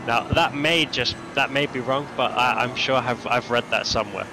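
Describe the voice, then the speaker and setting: A man giving casual, halting live commentary on a sim race for a charity stream, full of restarts and fillers. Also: mid-range voice, background noise. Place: lives in Denmark.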